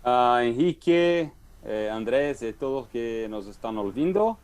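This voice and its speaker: A Brazilian man whose first language is Portuguese speaks over a video call with a Brazilian Portuguese accent. He talks very slowly at a middling pitch. He hesitates often, filling the gaps with "uh". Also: animated, a little mellow, clear, resonant tone. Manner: hesitant, many "uh" fillers. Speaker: guest speaker. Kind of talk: webinar talk.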